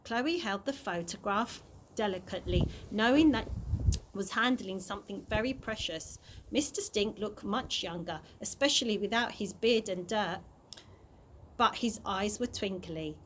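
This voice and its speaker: A woman's voice: mid-range voice, expressive, unhurried, fairly bright tone, slight background noise, poor audio. A woman narrating a children's chapter book aloud as a storyteller. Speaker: early years practitioner reading stories to children.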